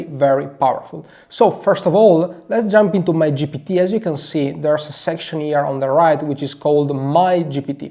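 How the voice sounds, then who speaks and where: Italian guy walking thru his tools, mellow voice, keeps saying actually, really pushes his points. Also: fairly high pitch. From Italy. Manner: energetic, emphatic, repeats "actually" often. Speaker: marketing advisor. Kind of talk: conversational screen-share tutorial.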